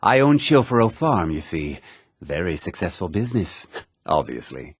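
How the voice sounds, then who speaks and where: A man's voice: low voice, big pitch swings, normal speed, breathy edge, no echo, clean studio sound, exaggerated voice. A man playing a haughty, self-important townsman and merchant in a fantasy video game, speaking in a smug, theatrical, dryly condescending way. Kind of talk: video game character dialogue.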